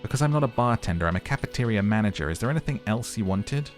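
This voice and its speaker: A man's voice: fairly low pitch, expressive, fast talker, soft background music, lightly acted. A man voicing a curt, dismissive cafeteria manager in a video game. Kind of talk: role-played video game dialogue.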